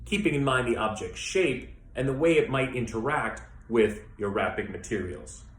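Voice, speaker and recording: A man working as a theater educator, guiding listeners through an exercise with measured, step-by-step instructions and pauses. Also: mid-range voice. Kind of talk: instructional demonstration, guided exercise. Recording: echoey room with faint background noise.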